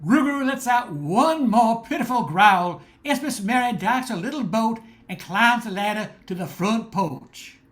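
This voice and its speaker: A woman's voice: on the high side, expressive, unhurried, few pauses. A woman reading scripted narration for a radio drama, as the storyteller between the characters' lines.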